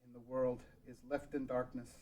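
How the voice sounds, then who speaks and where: A man's voice: mid-range voice, level tone, normal speed, dark tone, lightly acted, low-quality recording. A man reading a guilt-ridden, regretful ruler of a doomed land in a table read of a fan script. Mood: regretful, somber.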